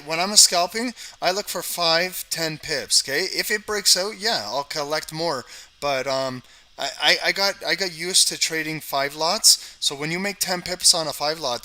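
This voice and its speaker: A man's voice: on the high side, normal speed, pushed and bright, rough recording. A man from Canada hosting a live trading stream, chatting casually, talking to his viewers in the chat and tagging lines with "okay" and "guys.". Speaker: trading livestream host.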